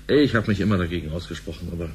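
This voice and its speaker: A man's voice: sits low, rapid-fire, slight background noise, lightly acted. An actor playing a grumbling, suspicious man who works at a bank, in conversational radio play style. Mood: uneasy, grumbling.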